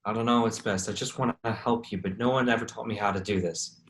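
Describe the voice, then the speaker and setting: A man's voice: on the low side, steady tone, quick pace, natural voice. An actor playing a desperate, protective man in a staged play reading, blunt and insistent. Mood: subdued, sorry. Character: Vincent, desperate, protective man cornered with a knife.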